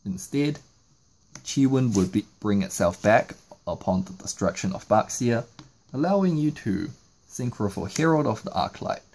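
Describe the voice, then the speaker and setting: A man's voice: fairly low pitch, lively intonation, normal speed, a little mellow, rough recording. A man narrating a step-by-step card game tutorial, explaining each move in sequence. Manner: explanatory, walking through moves in sequence. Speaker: trading card game YouTuber.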